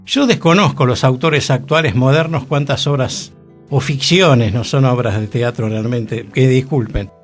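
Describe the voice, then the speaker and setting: A man with a Rioplatense accent, a radio columnist explaining his topic in a chatty, halting way. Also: medium pitch, faint music behind. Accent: Rioplatense Spanish. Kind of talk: casual radio chat. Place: radio studio.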